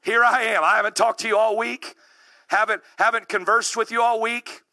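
A man in his thirties preaching a sermon with rising passion, calling out to the congregation and building to shouts. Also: high-pitched, fairly even intonation, normal speed. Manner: calls out to the congregation.

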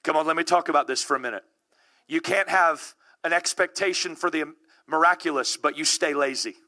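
Bright, impassioned high-voiced preacher man. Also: brisk. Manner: impassioned, builds to shouting; calls out to the congregation. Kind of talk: sermon.

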